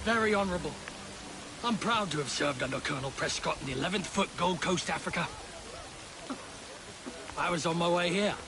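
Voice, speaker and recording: A man's voice: on the high side, brisk, long pauses, background noise, natural voice. A man acting as a loyal, plain-spoken Victorian army veteran giving witness in a video game, in short earnest statements. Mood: sombre, respectful.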